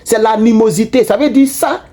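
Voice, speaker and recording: A man giving casual political commentary to his online viewers, animated and repetitive, with frequent restarts. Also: extremely high pitch, normal speed. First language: not French.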